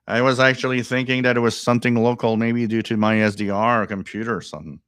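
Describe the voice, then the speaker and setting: A man hosting a live radio stream, thinking aloud in casual commentary, repeating himself and breaking into excited bursts over strange signals. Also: medium pitch, fast, clean tone, no echo, studio quality.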